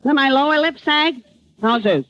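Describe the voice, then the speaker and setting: A woman's voice: high voice, normal speed, natural voice. An actress playing a naive, man-hungry young woman who puts on mysterious airs in an old-time radio comedy, with exaggerated swooning delivery. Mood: wry, anxious. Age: young adult. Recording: dull vintage radio recording, noisy studio audience.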